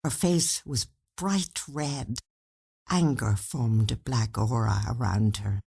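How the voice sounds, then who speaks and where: A woman narrates a short story in a low, slightly husky voice. She takes her time, and her intonation moves widely as she tells the tale expressively. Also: slow, dark tone.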